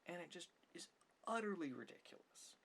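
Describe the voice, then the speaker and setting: A man's voice: high-pitched, expressive, normal speed, vocal fry, fairly bright tone. A man hosting an ASMR-style film commentary, complaining emphatically and sarcastically and at times dropping toward a whisper. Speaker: video host, film commentator. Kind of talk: ASMR opinion monologue on films. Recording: faint background noise.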